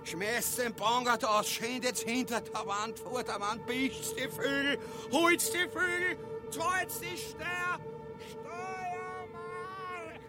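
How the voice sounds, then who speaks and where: A man's voice: very high-pitched, expressive, slow pace, over loud music, exaggerated voice. An actor playing a pompous, cowardly, blustering grotesque king in a farcical radio play, declaiming and whining in a Viennese accent. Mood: frantic commanding.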